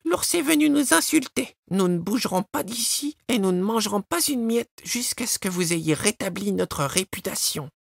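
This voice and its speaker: Indignant baby wrens. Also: male voice, lively intonation, normal speed, creaky, hardly any pauses, husky, no echo, clean studio sound, exaggerated voice. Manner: shrill and indignant. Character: angry proud tiny baby wren birds. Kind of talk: storybook voice acting.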